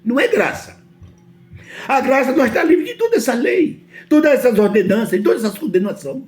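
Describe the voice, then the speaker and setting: A man's voice: extremely high pitch, very animated, fairly quick, faint music behind. A man from Brazil with a Brazilian Portuguese accent, preaching a sermon emphatically and often asking his listeners whether they understand.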